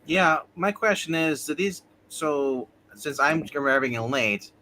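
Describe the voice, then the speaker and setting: A man's voice: fairly high pitch, expressive, a little slow, sharp tone, a little hiss or hum. A man playing a tabletop roleplay game, talking casually in a blunt, questioning manner.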